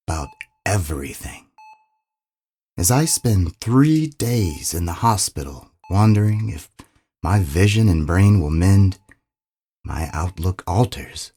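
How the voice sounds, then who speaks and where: A man playing a reflective baseball player, narrating his own story in a husky voice as scripted drama. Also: sits low, a little mellow, exaggerated voice.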